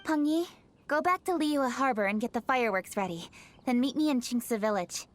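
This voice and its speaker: A woman's voice: on the high side, expressive, normal speed, slight background noise, close to an everyday voice. A woman playing a poised young noblewoman leader in a video game, serious and dutiful, speaking formally and firmly. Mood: resolute. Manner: composed, formal, firm. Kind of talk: video game character acting.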